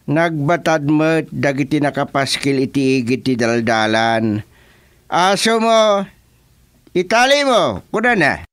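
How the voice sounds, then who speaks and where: An actor playing a flustered, hesitant village chairman in a radio comedy drama, with an Ilocano accent and halting, stammering speech. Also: fairly high pitch, lively intonation, a bit bright, smooth. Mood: puzzled, indignant.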